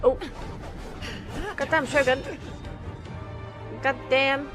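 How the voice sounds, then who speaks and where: A woman's voice: sits high, level tone, drawn-out words. A woman gaming creator giving casual, informal, reactive let's play commentary. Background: faint game music.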